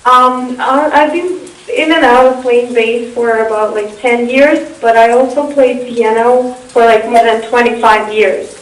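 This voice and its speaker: A woman's voice: on the high side, fairly even intonation, a little slow, few pauses. A woman from Russia, a native Russian speaker, giving casual answers as an interview guest. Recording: echoey, distant, faint hiss.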